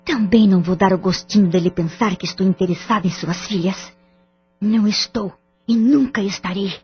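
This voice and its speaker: Brief: a bitter, proud wife, very breathy and husky, cold and resentful, dull lo-fi sound with faint music. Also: female voice, medium pitch, moderate pace. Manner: cold, resentful inner monologue. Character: proud wounded wife, middle-aged, bitter and stubborn. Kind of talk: audio drama acting.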